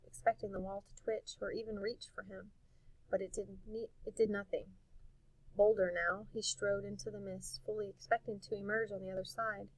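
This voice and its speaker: A woman reading a storybook aloud in an expressive narrating style. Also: mid-range voice, no echo, rough recording. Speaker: audiobook reader.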